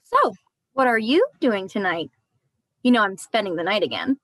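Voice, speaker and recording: A woman playing a polite, uneasy young visitor in a horror play reading, speaking haltingly. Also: mid-range voice, sing-song, fairly bright tone, dry recording. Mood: awkward, friendly.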